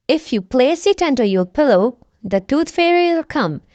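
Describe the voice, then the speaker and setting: A woman's voice: high voice, very animated, normal speed, a little mellow, no echo, dull audio. A woman voicing a kindly, reassuring mother pig in a children's storybook.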